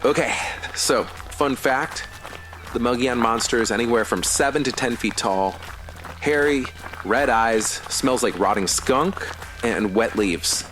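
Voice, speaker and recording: A man playing a young, nerdy, loyal runner friend in a horror audio drama, informal, earnest and expressive. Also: medium pitch, measured pace, background noise, close to an everyday voice. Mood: playful.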